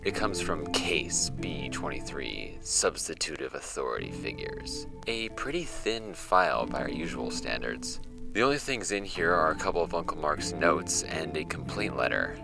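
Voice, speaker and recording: A man acting as an amateur podcaster who presents mysterious case files in a wry, measured way. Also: rumbling low, very animated, gravelly, exaggerated voice. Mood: dry, explanatory. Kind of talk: scripted horror fiction podcast narration. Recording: music bed behind the voice.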